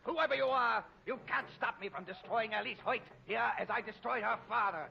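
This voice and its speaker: A man's voice: high-pitched, normal speed, vocal fry. A man playing a crazed, grandiose scientist villain who poses as a god, in 1940s radio drama style. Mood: raving. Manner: ranting, grandiose, menacing. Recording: old muffled, noisy radio recording.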